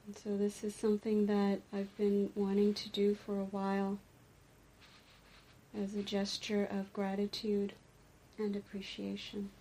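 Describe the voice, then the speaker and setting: A woman teaching a guided meditation, speaking deliberately in short phrases with pauses between them. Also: medium pitch, completely flat delivery, dark tone, husky, poor audio. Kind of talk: guided meditation and dharma talk.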